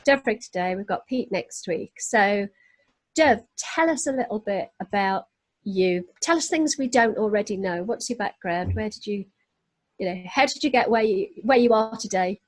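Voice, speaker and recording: A woman's voice: medium pitch, animated, moderate pace, no echo. Woman hosting a business podcast, friendly intro, dry close sound. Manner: friendly, lightly joking introductions. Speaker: podcast host. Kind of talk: podcast interview.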